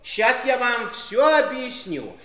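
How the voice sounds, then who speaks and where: High-voiced, giggly old uncle. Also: male voice, very animated, measured pace, fairly bright tone, full character voice. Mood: tickled, explaining. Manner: exclamatory, chuckling, courteous, effusive. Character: merry, giggly, fussy bald old uncle who floats. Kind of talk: theatrical 1960s audio play acting. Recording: roomy 1960s studio drama recording with music.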